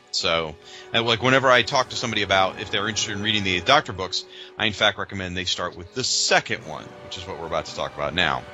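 Bright, forceful low-voiced man chatting. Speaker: podcast co-host. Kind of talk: casual fan discussion.

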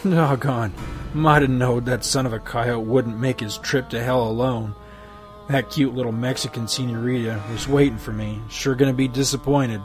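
A man's voice: medium pitch, steady tone, normal speed, over music, natural voice. A man voicing a sly, crooked small-town sheriff of the old West who talks in a folksy drawl. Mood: dying. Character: sly middle-aged crooked frontier sheriff.

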